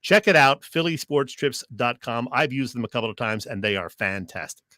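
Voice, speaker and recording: A man's voice: mid-range voice, lively intonation, normal speed, sharp tone. A man with a mid-range voice co-hosts a sports talk show. He talks casually and jokes as he goes. The sound is dry, with very little room echo. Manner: conversational, joking. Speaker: sports radio co-host.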